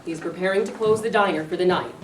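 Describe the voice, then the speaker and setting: Woman reading stage directions in an echoey room. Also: lowish voice. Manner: plain, measured reading. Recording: echoey room, distant mic, background noise.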